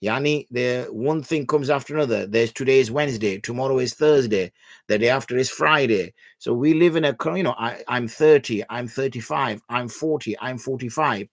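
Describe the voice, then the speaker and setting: A man, an Islamic scholar, answering viewer questions on religious rulings in a measured, patient way, explaining with everyday examples. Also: medium pitch, no echo, clean studio sound.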